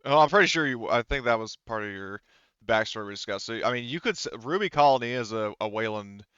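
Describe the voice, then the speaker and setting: A man's voice: medium pitch, lively intonation, fast talker, fairly bright tone, clear, resonant tone, no echo, dull audio. A man acting as game master in a casual tabletop roleplaying session, explaining and thinking aloud.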